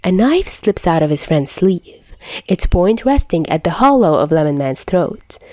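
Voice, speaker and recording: A woman's voice: lowish voice, big pitch swings, measured pace, mellow, no echo. A woman reading a scripted story as an amateur audiobook narrator. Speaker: amateur fan fiction narrator.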